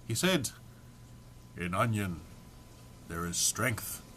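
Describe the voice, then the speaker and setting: A man's voice: fairly low pitch, very animated, takes it slowly, a bit bright. A man giving an amateur, theatrical dramatic reading of a humorous text, prone to amusement and stumbles. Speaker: amateur reader.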